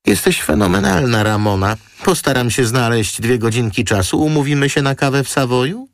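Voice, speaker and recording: A man's voice: mid-range voice, lively intonation, moderate pace, vocal fry, no echo, clean studio sound, pitched above the performer's own voice. A man voicing a gushing, theatrical young female model with a cooing, coquettish manner in an audiobook.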